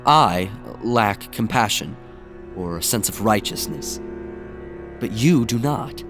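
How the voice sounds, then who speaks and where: An actor playing a brooding, aloof messiah in an audio drama, speaking gravely and deliberately. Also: male voice, lowish voice, expressive, over music. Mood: grave, detached. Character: brooding male messiah, aloof puppet of cosmic powers.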